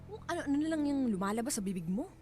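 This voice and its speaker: A very high-pitched Filipino wife in a radio drama. She is emotional and exasperated, pleading with her husband over faint music. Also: big pitch swings, fast. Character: Thelma, distressed wife confronting her angry husband.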